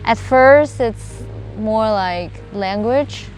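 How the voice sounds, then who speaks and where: A young woman from China with Chinese as her first language, a college student answering interview questions in a halting, filler-heavy way. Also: a little high, darkish tone, faint music behind, rough recording. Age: young adult. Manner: hesitant, many fillers and restarts.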